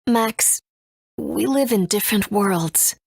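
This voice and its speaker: A woman's voice: mid-range voice, animated, slow, creaky, husky, no room sound, studio quality, heavily acted. A woman playing a grave, tender mother and rebel fighter in a video game, serious and dramatic. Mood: tender, regretful. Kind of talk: English video game voice acting.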